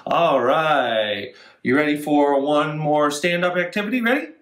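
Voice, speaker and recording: Expressive, roomy-sounding man hosting children's storytime. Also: fairly high pitch, measured pace. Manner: expressive, encouraging to young listeners. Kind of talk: children's storytime reading, songs and action rhymes. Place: indoors, living room. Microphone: camera a few feet away. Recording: roomy living-room echo, camera mic.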